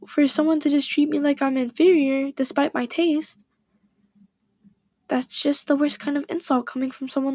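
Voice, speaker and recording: A woman voicing a shy, self-doubting teenage girl who speaks hesitantly, with a slightly hoarse voice. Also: high voice, darkish tone, no echo, natural voice.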